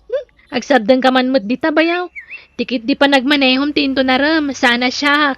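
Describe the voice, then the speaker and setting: A single businesswoman with a high voice and an Ilocano Filipino accent. She is friendly and a little bashful, speaking as a younger sister-in-law in an audio drama. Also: normal speed, a bit bright, a little hiss or hum. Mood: bashful, teasing.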